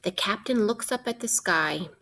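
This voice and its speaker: A woman teacher reading a children's science book aloud in a deliberate way, putting questions directly to young listeners. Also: medium pitch, expressive, bright, forceful tone.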